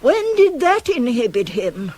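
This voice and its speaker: A woman's voice: on the high side, very animated, a little mellow, breathy edge, full character voice. An actress playing a devoted, worldly-wise older nurse to a queen in a radio Greek tragedy, theatrical and insistent. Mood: bitter scolding. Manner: theatrical, insistent, measured, weighty phrasing. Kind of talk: 1980s radio drama acting of Greek tragedy.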